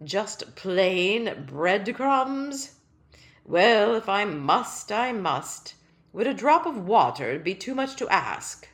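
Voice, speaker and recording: A woman voicing a tiny, pompous, elderly male doll king who talks slowly, loudly and with stately gloom. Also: mid-range voice, sing-song. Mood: mournful. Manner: slow, loud, stately and mournful.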